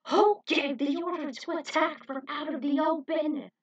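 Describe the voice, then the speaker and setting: A woman's voice: high voice, steady tone, normal speed, highs cut off, voice pushed up. A woman voicing a stern, angry male army general who barks indignantly in an action story.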